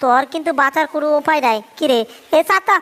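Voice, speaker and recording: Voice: high voice, fast talker, a bit bright. A performer voicing a small, scheming, whiny cartoon mouse in a comedy dub, exclaiming and narrating his plans. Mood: resentful. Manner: whining, exclamatory, self-narrating.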